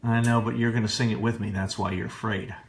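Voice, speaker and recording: A man's voice: fairly low pitch, level tone, fast. A man co-hosting a casual livestream radio show as its singer, talking in a playful, bantering way. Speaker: singer and co-host of an online fan radio show. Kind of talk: casual joking livestream chat. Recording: roomy echo, music behind the voice.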